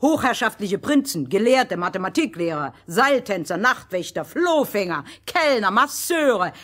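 A woman telling a fairy tale as a storyteller, expressive and theatrical, in a playful scripted style. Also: medium pitch, a little slow.

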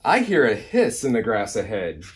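A man's voice: fairly low pitch, wildly swooping pitch, moderate pace. Large storybook monster, gullible, slightly dim, exclamatory, read by a man. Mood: amused, amazed. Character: big terrible monster, gullible, easily scared. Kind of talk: animated children's storybook reading.

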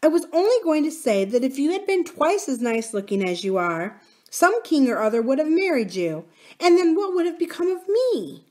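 A woman's voice: high voice, very animated, moderate pace, darkish tone, pitched above the performer's own voice. A woman voicing a young princess girl, earnest and proud, who speaks in a pleading, sometimes indignant way.

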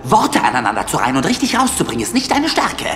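A man playing a conceited, dramatic and excitable lion in an animated film audio drama. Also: a little high, fast talker, reverberant, full character voice. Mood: nervous, coaxing. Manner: theatrical, excitable. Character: conceited, dramatic male lion, loyal friend.

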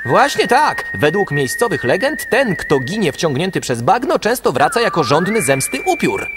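Casting: confident young mystery solver, lively delivery, cartoon, loud music behind. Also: male voice, sits high, normal speed. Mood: intrigued. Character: eager, confident young male cartoon sleuth. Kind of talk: cartoon dubbing.

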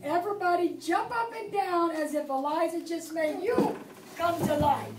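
A woman's voice: extremely high pitch, moderate pace, heavy background noise. A middle-aged woman reading a Bible story to preschool children in a church classroom, with prompts for them to join in. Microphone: far camera. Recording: echoey room from a distance.

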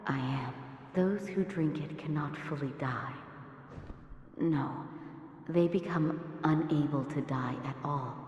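A woman playing a composed, gentle physician in a video game, soft-spoken, formal and quietly wry. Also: sits low, takes it slowly, lo-fi sound. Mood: serious, thoughtful.

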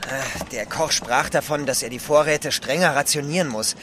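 Casting: male actor as grumbling seafarer, medium pitch, radio play with faint outdoor noise. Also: moderate pace, natural voice. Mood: doubtful. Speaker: actor in an audio drama. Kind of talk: German radio play acting.

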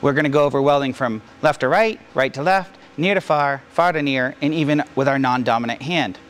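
A man's voice: medium pitch, fairly quick, a bit bright, slight background noise. A middle-aged man working as a welding instructor, explaining a hands-on demonstration to camera in a plain-spoken, practical way. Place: large indoor welding shop.

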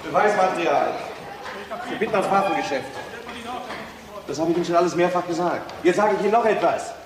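An actor playing a blunt, defiant, bitter investigator in a crime radio drama. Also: male voice, high voice, a little fast, reverberant, in a large hall, crowd noise, lightly acted, low-quality recording. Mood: curt, then bitter, angry.

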